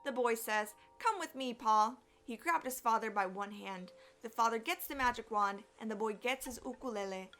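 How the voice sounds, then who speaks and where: A woman reading a children's story aloud in an expressive, deliberate storyteller's way. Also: a little high, faint music behind. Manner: animated, deliberate storytelling with acted sound effects. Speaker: storyteller, elementary music teacher.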